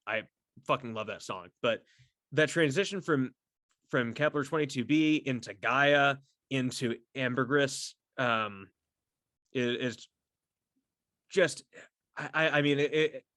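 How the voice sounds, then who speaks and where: A man chatting casually and solo as a podcast host, effusive and halting, repeating words and swearing freely as he rambles. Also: medium pitch, fairly bright tone, no room sound, studio quality. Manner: effusive, enthusiastic fan talk. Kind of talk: casual solo chat to camera about a favorite band.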